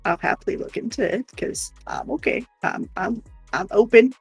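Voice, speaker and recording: A woman's voice: a little high, moderate pace, slightly rough, no room sound, faint music behind. A woman co-hosting a livestream in casual, rambling chat. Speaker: livestream co-host.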